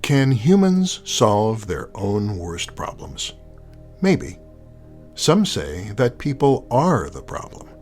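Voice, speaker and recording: A man's voice: fairly low pitch, sing-song, slow pace, darkish tone, faint music behind. A man delivering scripted narration as the announcer of an audio show.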